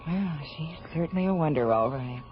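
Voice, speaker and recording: A wry space station officer, lowish voice, lively tone, teasing banter, dull old radio sound. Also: female voice, normal speed. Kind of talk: 1980s radio drama acting.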